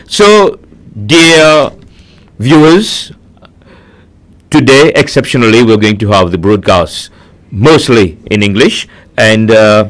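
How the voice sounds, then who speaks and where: A man hosting a conversational broadcast interview, repeating answers back and addressing viewers directly. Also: fairly low pitch.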